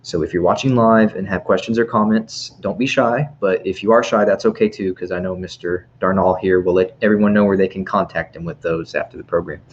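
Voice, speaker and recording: A man's voice: low-pitched, steady tone, brisk, hardly any pauses. An American man hosting a library event, giving an informal introduction on an online stream. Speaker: library host introducing a speaker. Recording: dull audio with faint noise.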